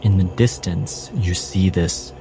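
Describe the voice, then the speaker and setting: Male game master, unhurried and suspenseful, weighty pauses, dramatic storytelling over prominent music. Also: sits low, poor audio. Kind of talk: dramatic second-person narration of a tabletop game. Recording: music behind the voice.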